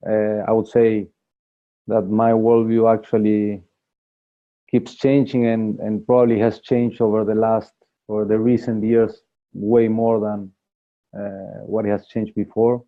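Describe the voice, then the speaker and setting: Slow, flat-voiced man on a call. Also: fairly low pitch. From Argentina. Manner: hesitant, many fillers. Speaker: course participant. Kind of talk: casual self-introduction on a group video call. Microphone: video call. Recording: phone-like call audio.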